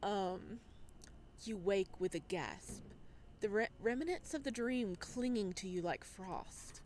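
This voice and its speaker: A woman's voice: mid-range voice, lively intonation, slow pace, a little mellow, a little hiss or hum. A woman hosting a game playthrough, reading narration aloud dramatically and adding casual commentary. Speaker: video game playthrough host.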